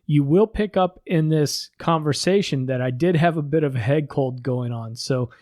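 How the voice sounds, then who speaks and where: A man's voice: a little high, moderate pace, a little mellow, dry recording, clean studio sound. An older man hosting a podcast, a little stuffy and plugged up from a head cold, speaking in an upbeat, friendly way. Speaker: podcast host. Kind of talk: casual podcast interview.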